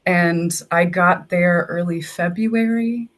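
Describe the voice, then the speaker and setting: A young woman from Texas chats as a podcast guest, telling stories casually and peppering them with "like". Her speech is relaxed and conversational. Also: fairly low pitch, slow pace. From Texas, United States. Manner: chatty, uses "like" often, storytelling. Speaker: guest, English language assistant. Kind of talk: casual interview.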